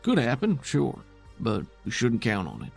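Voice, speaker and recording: A man's voice: medium pitch, huge pitch swings, normal speed, gravelly, faint music behind, full character voice. A man voicing a weary, defiant male settlement leader in a tabletop game, with folksy, plainspoken, skeptical phrasing. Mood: wary.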